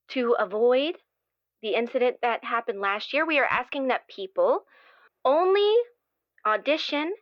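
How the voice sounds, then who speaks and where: A woman playing an earnest, gushing playwright in an improvised audio drama, speaking in a halting, effusive way as if anxious to please. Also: on the high side, no room sound, lo-fi sound, lightly acted. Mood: pleading. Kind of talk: improvised actual-play interview acting.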